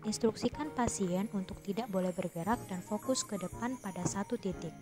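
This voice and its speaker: Polite young woman explaining over loud music. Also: mid-range voice, normal speed. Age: young adult. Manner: polite, step-by-step explaining, formally addresses the patient. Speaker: dental radiography student. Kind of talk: skills lab demonstration narration.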